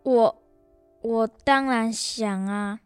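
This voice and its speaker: A woman's voice: a little high, very slow, smooth, soft background music. A young female performer playing a responsible, earnest boy, the elder brother, in a children's radio drama. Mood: gentle. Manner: earnest, considerate.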